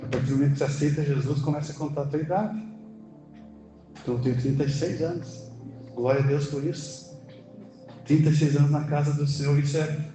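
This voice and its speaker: A middle-aged man with a Brazilian accent preaching a conversational sermon, emphatically asking questions and answering them himself. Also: on the high side. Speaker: preacher, church elder. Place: indoor church service, music in the background. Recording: very echoey church hall, music behind.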